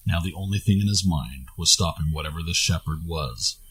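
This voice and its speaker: A man's voice: sits low, fairly bright tone, poor audio. A man reading scripted fantasy story narration as the narrator of an audio tale.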